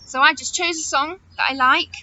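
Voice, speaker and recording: A woman talking chattily to young children as their teacher, animated and full of questions and wonder. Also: high voice, moderate pace. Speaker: children's teacher hosting story follow-up activities. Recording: dull audio with loud noise and clicks.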